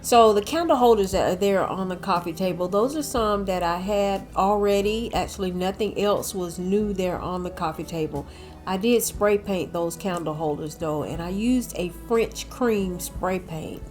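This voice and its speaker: A woman's voice: mid-range voice, normal speed, a little mellow, faint music behind. Chatty woman, a bit nasal. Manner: chatty, addresses viewers as y'all. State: slightly nasal from a cold. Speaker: home decor vlogger. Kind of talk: casual voice-over narration.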